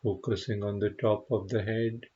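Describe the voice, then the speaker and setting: A man leading a guided meditation as a teacher, speaking gently and unhurriedly with frequent pauses and a husky voice. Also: fairly low pitch, level tone, highs cut off. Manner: gentle, unhurried, pausing often.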